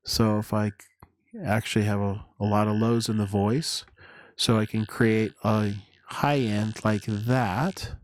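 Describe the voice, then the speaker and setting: A man narrating a hands-on synthesizer tutorial in a halting, deliberate way, explaining as he goes. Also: lowish voice, steady tone. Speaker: tutorial presenter.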